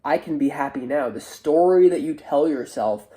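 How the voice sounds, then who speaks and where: Online tarot reader, very mellow timbre, stuffy and nasal, informal and hesitant spiritual guidance. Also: medium pitch, lively intonation, moderate pace. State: stuffy, congested nose. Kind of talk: casual spiritual tarot reading to camera.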